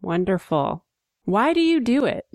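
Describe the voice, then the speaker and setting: A woman's voice: medium pitch, sing-song, measured pace, a little mellow. A woman co-hosting a design podcast who introduces the guest and asks him questions. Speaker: podcast co-host. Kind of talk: interview hosting.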